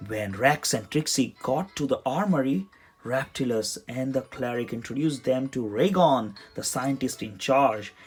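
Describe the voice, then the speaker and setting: Husky storybook narrator over music. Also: medium pitch, expressive, unhurried. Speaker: children's storybook reader. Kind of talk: read-aloud scripted narration. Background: music under the voice.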